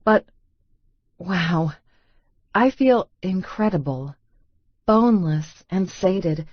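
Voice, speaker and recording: Slow-paced woman narrating a romance audiobook. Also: lowish voice, animated, dry recording, dull audio.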